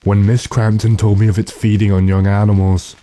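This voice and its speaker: Man playing vampire-hunting investigator, very low dark voice, authoritative, deliberate, radio horror drama. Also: little pitch movement, natural voice. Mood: grim, resolute. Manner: authoritative, deliberate, gives orders. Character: calm, commanding male investigator hunting a vampire.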